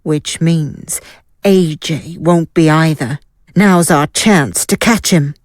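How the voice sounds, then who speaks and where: A woman's voice: low-pitched, slow pace, no echo, studio quality. A woman playing an assured, wry guild fighter in a fantasy audio drama. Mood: resolute. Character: Ilaeda, confident wry female guild fighter. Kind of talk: scripted audio drama acting.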